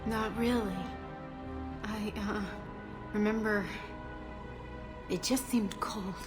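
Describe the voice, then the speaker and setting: An actress playing a disoriented, shaken colonist in a video game, speaking hesitantly as if dazed. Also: female voice, medium pitch, fairly even intonation, slow, music in the background, lightly acted. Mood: dazed, uneasy. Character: shaken, disoriented female colonist waking from trance.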